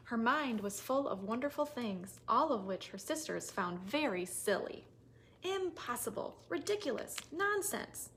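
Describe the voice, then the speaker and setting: A woman with a fairly high voice leads story time for toddlers. She speaks in simple, expressive phrases, as a children's librarian reading aloud. The recording has noticeable noise. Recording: noisy recording with clicks.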